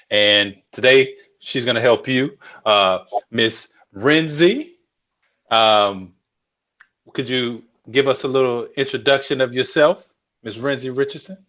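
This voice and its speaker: A man's voice: medium pitch, a bit bright. A man hosting a live show, speaking in an earnest, welcoming way. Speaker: host. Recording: dull lo-fi audio.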